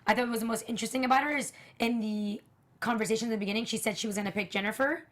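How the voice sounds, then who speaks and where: A woman with a raspy voice co-hosting a TV after-show, giving candid, opinionated takes in casual panel chat. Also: on the high side, racing. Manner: candid, opinionated, talks at length.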